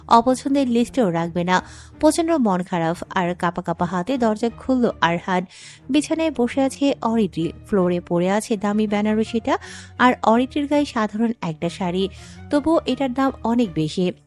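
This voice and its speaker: Female story narrator over soft music. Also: a little high, lively intonation, moderate pace. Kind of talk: scripted dramatic story narration.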